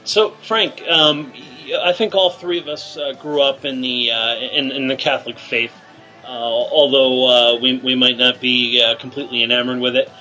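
A male radio show host leading deadpan teasing banter in a halting, filler-filled setup. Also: mid-range voice, faint music behind, low-quality recording.

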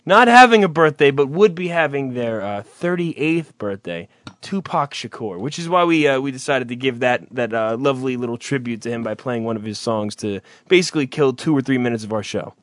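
A man's voice: mid-range voice, animated, a little fast, a bit bright, no echo. A man hosting a comedic internet radio show, goofy and jokey, with rambling asides and frequent hesitations. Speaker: host.

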